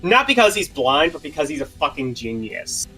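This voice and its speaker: A man's voice: fairly high pitch, sing-song, normal speed, pushed and bright, faint music behind, poor audio. A man in casual chat, swearing freely and restarting his sentences often.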